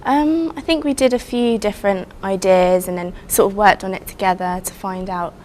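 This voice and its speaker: Soft-spoken young woman design student. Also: mid-range voice, normal speed, a little hiss or hum. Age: 20s. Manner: soft-spoken, brief replies with fillers. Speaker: interview guest, design student. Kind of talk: casual interview answers.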